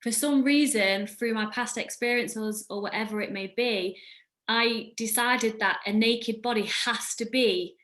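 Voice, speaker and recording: A woman with a slightly higher voice hosts a podcast conversation. She speaks earnestly and reflectively, sharing her views in a casual way. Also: measured pace, fairly bright tone. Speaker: podcast host.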